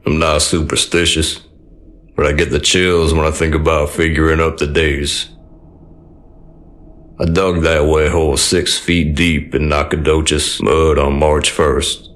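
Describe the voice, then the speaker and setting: An actor playing a weathered East Texas survivor of the apocalypse, narrating an audio drama in a folksy, grim, plainspoken way. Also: male voice, very deep voice, little pitch movement, unhurried, a bit bright. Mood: wry, resigned. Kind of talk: scripted first-person audio drama narration. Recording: music bed behind the voice.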